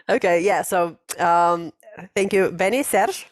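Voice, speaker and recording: A woman's voice: lowish voice, takes it slowly, dry recording. Woman host, 40s, chatty and laughing, dry close video-call sound. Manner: chatty, laughs often, many restarts. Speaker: host of an English conversation class. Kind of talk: casual online class chat.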